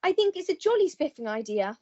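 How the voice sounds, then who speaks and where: A gushing, self-centred young English heiress voiced by a woman in a comic radio melodrama. Her voice sits very high and she plays everything melodramatically and posh. The recording is slightly dull. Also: sing-song, brisk, warm tone. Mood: elated, gushing.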